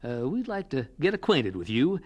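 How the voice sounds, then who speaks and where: A man's voice: medium pitch, sing-song, moderate pace. An actor playing a genial, persuasive married townsman with a hesitant, folksy, coaxing manner, in a radio drama. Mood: friendly, coaxing.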